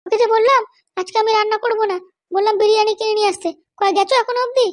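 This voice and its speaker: A man voicing a bossy, short-tempered middle-aged cartoon wife with a West Bengal accent and a pitched-up voice. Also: fairly even intonation, very fast, dry recording, clean studio sound. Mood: scolding. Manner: scolding, threatening. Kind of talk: comic cartoon dialogue.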